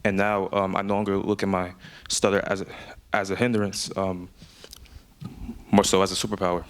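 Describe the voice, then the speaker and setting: A man's voice: deep voice, little pitch movement, moderate pace, clean tone. A young man giving a prepared personal speech, speaking with an audible stutter, frequent repetitions and fillers. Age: young adult. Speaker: student speaker. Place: event speech.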